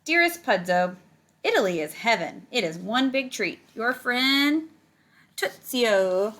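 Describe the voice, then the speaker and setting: A woman's voice: a little high, expressive, takes it slowly, a bit bright. A woman voicing an affectionate, adventurous male pig from a storybook, reading his short exclamatory letters expressively. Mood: delighted.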